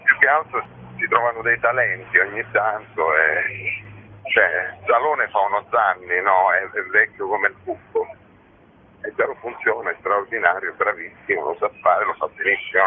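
Male festival organiser, conversational interviewee, lowish voice, thin telephone-like sound with some noise. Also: normal speed. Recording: noisy phone-like narrow-band audio.

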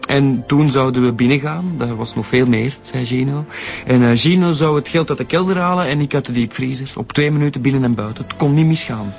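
A man's voice: mid-range voice, fairly quick, gravelly, darkish tone, soft background music, lightly acted. An actor playing a nervous man with a Flemish accent, confessing hesitantly in a radio drama. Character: nervous accomplice confessing theft.

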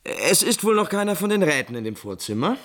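A man playing an impulsive, refined, lovesick prince in 1950s German radio drama, theatrically shifting between musing and brisk command. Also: sits high, very animated, a bit bright. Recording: 1950s radio recording with music behind.

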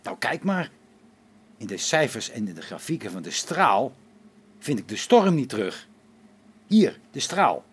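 An actor playing a sarcastic, exasperated space station engineer in a radio play, with a biting manner. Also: male voice, medium pitch, very animated, unhurried, pauses often, breathy edge, full character voice. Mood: puzzled.

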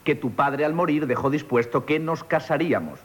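A man's voice: fairly high pitch, moderate pace, lightly acted. A man playing a jealous, sulky waiter in a radio comedy, plain-spoken and aggrieved, with a Castilian Spanish accent. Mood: jealous, hurt. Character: jealous, sulky waiter in love with his mistress. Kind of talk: theatrical radio comedy acting.

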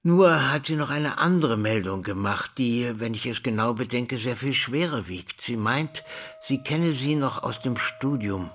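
An actor playing a pedantic school director in a theatrical drama, speaking in formal, wordy, deliberate phrases. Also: male voice, mid-range voice, a bit bright. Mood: formal, grave. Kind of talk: theatrical drama dialogue and monologue.

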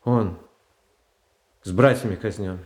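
A frail soft-hearted tsar, really slow and halting, plaintive, roomy echo, old-school radio tragedy. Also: male voice, fairly low pitch. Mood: shocked disbelief. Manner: halting, plaintive, hesitant phrasing. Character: gentle, naive, frail, pious Tsar, soft-hearted and pleading. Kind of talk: classical Russian stage tragedy in radio adaptation. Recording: roomy, echoing stage sound.

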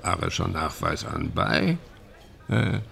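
Nervous male stage-and-screen player, radio drama: heavy fry, low register, halting and slyly satirical. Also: wildly swooping pitch, a bit bright, heavily acted. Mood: sardonic. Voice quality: very gravelly, creaky. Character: nervous, slyly satirical male film actor in Nazi Germany. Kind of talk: German radio drama dialogue.

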